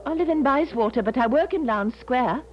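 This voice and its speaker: A woman's voice: high voice, animated, normal speed. An actress playing a kindly working-class London charwoman with a London accent, folksy and rambling, in a 1970s radio drama. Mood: sorrowful, matter-of-fact. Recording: dull 1970s radio broadcast.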